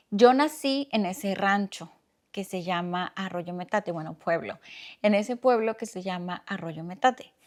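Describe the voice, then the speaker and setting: A young woman from Oaxaca speaks with a Mexican accent in a medium-pitched voice. She hosts her podcast alone, telling a personal story in a soft, reflective tone. She takes her time and pauses often. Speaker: podcast host.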